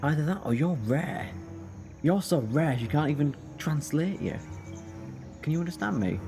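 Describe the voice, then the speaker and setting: A man playing an eccentric, quick and cheeky alien time traveller in a fan sci-fi audio drama, with a chatty, flippant manner. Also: on the high side, expressive, creaky, music in the background. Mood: flustered.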